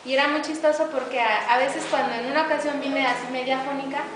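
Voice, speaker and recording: A young woman who teaches preschool, speaking calmly and softly about her classroom in an interview. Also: high voice, a crowd in the background. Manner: soft-spoken, calm, unhurried. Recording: echoey room, distant mic, noisy background.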